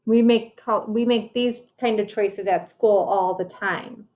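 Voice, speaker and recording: Slow-paced woman reading to children. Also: mid-range voice, steady tone, a little mellow. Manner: explanatory, addressing young children directly. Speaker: teacher reading aloud. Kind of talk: children's storybook read-aloud with explanations.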